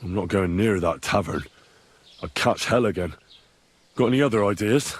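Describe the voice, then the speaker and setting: A husky, inquisitive young traveller. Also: male voice, fairly low pitch, moderate pace. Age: young adult. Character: polite, inquisitive young male stranger, plain-spoken and hard up. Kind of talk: video game character dialogue.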